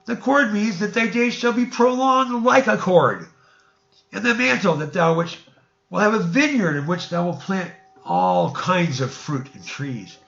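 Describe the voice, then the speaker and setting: A man's voice: high-pitched, a little slow, gravelly, a bit bright, highs cut off, voice pushed up, heavily acted. A man voicing a grasping, brazen innkeeper and dream interpreter in a storybook, declaiming insistently in archaic speech. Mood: firmly demanding.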